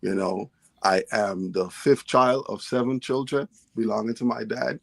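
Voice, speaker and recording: Guy from guyana on a poetry show, low gravelly voice, dry close sound. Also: fairly even intonation, a little slow, no echo. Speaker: guest author and poet. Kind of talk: casual interview talk.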